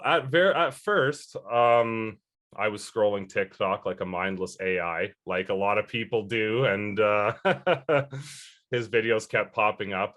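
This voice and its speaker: A man's voice: fairly low pitch, fairly bright tone. A man in his early thirties answering interview questions earnestly, rambling a little with fillers and restarts. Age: 30s. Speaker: interview guest.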